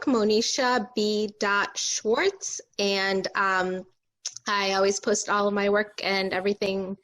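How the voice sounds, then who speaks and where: A woman's voice: on the high side, a bit bright. A woman chatting casually as a guest on an online video call. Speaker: actress and guest. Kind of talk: casual chat.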